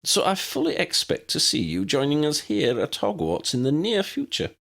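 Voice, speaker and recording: Give the storybook a stern, elderly head teacher woman, played by a man. The voice is pitched high and swoops as it pronounces. It is brisk, formal and dryly wry. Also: wildly swooping pitch, gravelly, a bit bright, heavily acted. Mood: formal, approving. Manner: proper, schoolmistressly, pronouncing.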